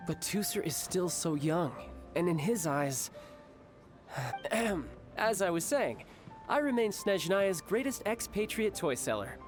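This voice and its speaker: A young man playing an affable, courteous big brother and secret agent in a video game. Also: high voice. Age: young adult. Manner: breezy, courteous.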